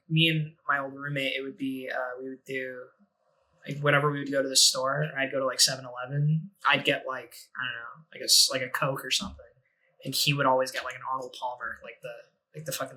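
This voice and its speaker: A man's voice: mid-range voice, pushed and bright, poor audio. A man in his twenties chatting casually on a podcast, rambling, laughing often and swearing freely. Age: 20s. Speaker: podcast guest.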